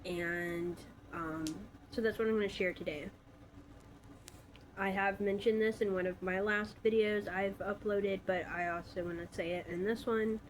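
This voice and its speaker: A woman's voice: mid-range voice, fairly even intonation, unhurried, poor audio. A woman in her twenties from Alabama, stuffy from a cold, telling her own story in a casual, hesitant vlog with many fillers. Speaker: vlogger.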